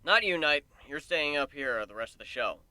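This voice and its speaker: Man playing a cocky, high, bright griffin showman. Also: expressive, normal speed, very echoey, recorded from a distance, poor audio. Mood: teasing, bossy. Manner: teasing, brash. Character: cocky male griffin showman, loud and teasing.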